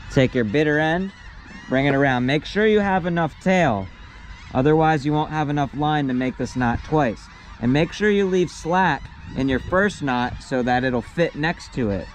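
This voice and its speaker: A young man, a sailing instructor, calmly walking through tying a knot step by step, recorded outdoors on a boat deck. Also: a little high, normal speed, slight background noise. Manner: patient, step-by-step, plain-spoken. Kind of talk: hands-on knot-tying demonstration.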